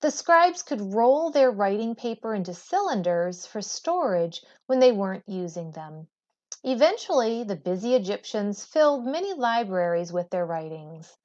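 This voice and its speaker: A woman teacher reading a textbook aloud to students in a measured, deliberate way. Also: medium pitch, very animated, darkish tone. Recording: dull lo-fi recording.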